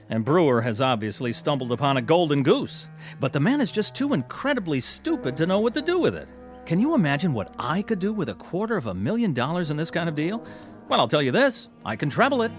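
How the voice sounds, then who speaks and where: An actor playing a glib, boastful young dandy in a radio drama, with an affected, garrulous and theatrical delivery. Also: male voice, on the high side, sing-song, fairly quick. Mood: boastful, scornful. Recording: studio radio drama with music underneath.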